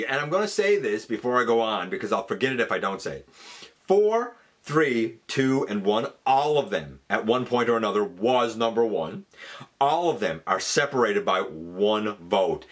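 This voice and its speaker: A man's voice: mid-range voice, a bit bright. A man hosting a fan countdown, giving casual, enthusiastic and emphatic commentary. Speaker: host of a fan countdown show.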